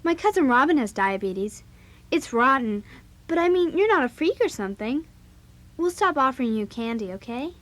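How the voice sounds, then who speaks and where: A high, youthful voice of a bossy teenage girl in a children's audio drama. She is blunt and forthright, quick to speak her mind. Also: big pitch swings, fairly bright tone. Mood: caring, upbeat. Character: bossy, outspoken teenage girl club founder, also narrating.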